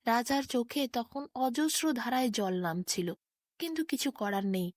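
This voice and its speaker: A woman's voice: on the high side, a little slow, no room sound, studio quality. A woman reading a scripted fairy tale as a storyteller.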